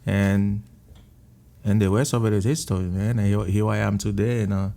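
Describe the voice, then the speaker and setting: A man from Haiti with a Haitian accent, telling his story in a restaurant interview in a halting, earnest way, often repeating words. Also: fairly low pitch, fairly even intonation, vocal fry, warm tone. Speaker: restaurant owner and missionary.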